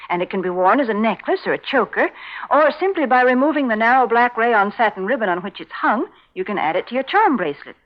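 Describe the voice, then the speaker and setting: A woman co-hosting 1940s radio as announcer, giving a prim, polished sponsor pitch. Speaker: commercial announcer and co-host. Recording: dull vintage 1940s radio recording.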